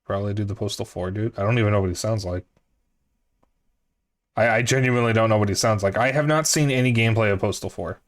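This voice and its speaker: A man's voice: fairly low pitch, fast. A man with a somewhat nasal voice, casually commentating a game stream and bantering jokingly with chat in playful mock denials.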